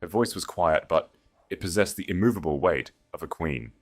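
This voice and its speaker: Very deep-voiced man narrating a dramatic story. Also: expressive, moderate pace, faint music behind. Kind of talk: scripted dramatic romance audiobook narration.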